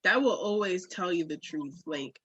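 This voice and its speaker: A woman's voice: on the low side, normal speed, fairly bright tone, husky, no echo, highs cut off. A woman talking candidly on a podcast in an emphatic, slangy way, with swearing.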